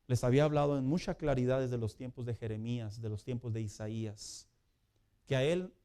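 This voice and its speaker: A man preaching a sermon as pastor in a conversational way, building to loud emphatic peaks. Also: medium pitch.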